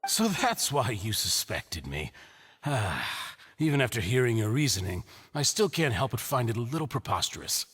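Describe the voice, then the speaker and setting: An actor playing a condescending middle-aged businessman in a video game, speaking in a measured, theatrical way with feigned kindness. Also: medium pitch, big pitch swings, fairly bright tone, slightly rough. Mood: feigned hurt. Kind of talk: dramatic video game dialogue.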